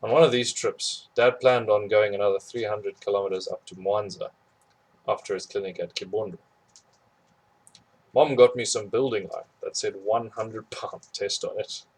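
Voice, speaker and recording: A man reading a memoir aloud in a plain, steady storytelling manner. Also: lowish voice, moderate pace, slightly rough, poor audio. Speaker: audiobook reader.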